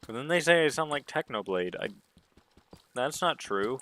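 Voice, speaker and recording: A man talks through a solo gaming livestream in a casual, rambling way. His voice is somewhat deep, and his pitch moves around in a lively, animated fashion as he muses aloud. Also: normal speed. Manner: rambling, self-musing talk.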